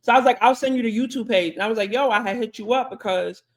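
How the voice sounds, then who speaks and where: A woman co-hosting a podcast, telling stories in an animated way and acting out both sides of conversations. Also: on the high side, fast talker. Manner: animated, acts out conversations in quotes. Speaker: podcast co-host. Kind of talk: casual storytelling chat.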